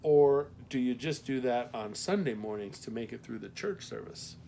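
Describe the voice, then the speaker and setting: A man's voice: medium pitch, normal speed, gravelly, warm tone, a little hiss or hum, close to an everyday voice. A man voicing a matronly small-town postmaster woman in an audiobook, folksy, gossipy and teasing.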